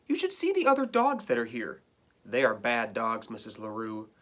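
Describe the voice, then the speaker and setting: A man's voice: medium pitch, wildly swooping pitch, moderate pace. Guy doing a whiny dramatic dog from a kids book, super put-upon, complains with lots of emphasis. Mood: aggrieved pleading. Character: indignant, melodramatic, put-upon pet dog writing letters. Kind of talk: storybook read-aloud.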